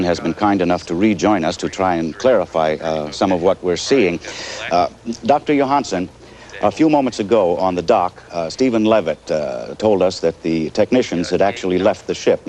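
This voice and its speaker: A man playing a composed television news anchor covering a crisis live, measured but pausing haltingly. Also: low-pitched, a little mellow, close to an everyday voice. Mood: grave, measured. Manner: measured, hesitant live delivery with halting pauses. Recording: noisy 1980s television broadcast audio.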